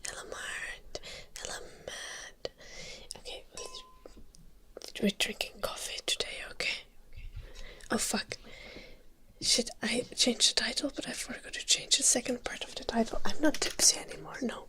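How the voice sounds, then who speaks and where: A woman's voice: on the high side, unhurried. A woman, sick with a stuffy nose, whispering through a casual ASMR livestream, greeting viewers by name and swearing offhandedly. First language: Finnish.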